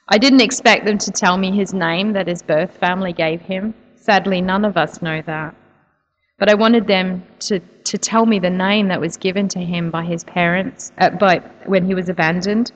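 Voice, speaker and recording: A woman speaks to a church audience, earnest and soft-spoken, with the occasional um. Her voice sits a little low for a woman. It carries the long reverb of a big hall. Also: steady tone, moderate pace, dull audio. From New Zealand. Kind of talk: church talk telling a personal story.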